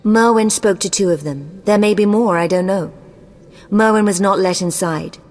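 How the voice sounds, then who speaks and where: A woman's voice: mid-range voice, normal speed, a little mellow, close to an everyday voice. A woman playing an imperious, ruthless sorceress in a video game, haughty, formal and commanding. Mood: formal, clipped. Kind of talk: scripted video game dialogue. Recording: long cavernous reverb.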